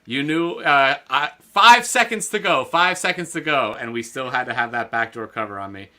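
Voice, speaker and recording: A man's voice: medium pitch, lively intonation, moderate pace, sharp tone. A man co-hosting a casual sports betting show, talking loosely with lots of fillers and restarts. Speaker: podcast co-host.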